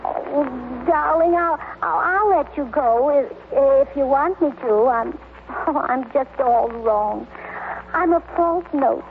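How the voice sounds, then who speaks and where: A woman's voice: high voice, slow pace, creaky, full character voice. An actress playing a scatterbrained, eager young wife in an old-time radio comedy, gushing and stammering as she goes. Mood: contrite, forlorn. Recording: noisy, tinny old-time radio recording.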